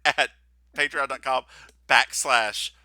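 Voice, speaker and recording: Teasing podcast guy, super bright voice, bouncy pitch, dry sound. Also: steady tone, takes it slowly, no room sound. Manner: playful, teasing. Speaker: podcast co-host. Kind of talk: casual chat.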